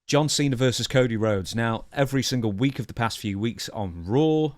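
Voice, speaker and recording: A man's voice: medium pitch, normal speed, no room sound. A man co-hosting a podcast in casual chat, with dry, teasing banter as he runs through the show. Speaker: podcast co-host.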